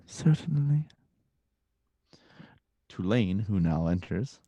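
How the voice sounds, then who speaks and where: Table-read narrator: man, soft relaxed timbre, very dry sound, informal delivery. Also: lowish voice, a little fast, no room sound. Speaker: stage-direction narrator of a table reading. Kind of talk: scripted narration and casual chat.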